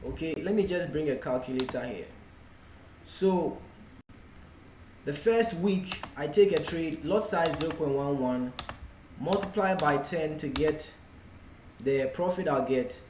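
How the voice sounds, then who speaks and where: A man with a Ghanaian accent giving an unscripted explanatory tutorial in a deliberate manner, in short broken phrases. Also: on the high side, expressive, a little mellow. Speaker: forex trading tutor. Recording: echoey room with faint background noise.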